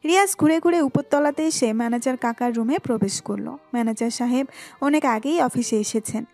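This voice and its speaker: A woman with a high voice narrates a romantic audio story. Her delivery is scripted storytelling, set over a light bed of music. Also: a little fast, darkish tone.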